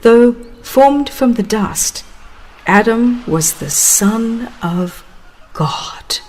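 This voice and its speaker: A woman reading a religious book as a dramatized audiobook narrator, in a solemn, measured and expressive manner. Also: mid-range voice.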